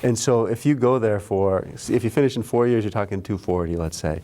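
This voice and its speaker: A man's voice: on the low side, fairly quick, dark tone. A man from the local school system talking earnestly about programs, often restarting his sentences. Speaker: school administrator guest. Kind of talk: casual chat.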